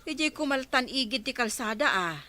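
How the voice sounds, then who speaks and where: A woman's voice: high-pitched, expressive, fairly quick, pushed and bright. A woman with an Ilocano accent playing an outspoken village woman in a radio comedy. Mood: questioning.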